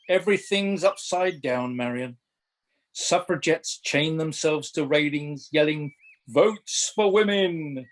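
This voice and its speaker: A man playing a grumpy, pompous seventy-five-year-old Victorian playwright in a radio play, indignant and dryly witty. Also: fairly high pitch.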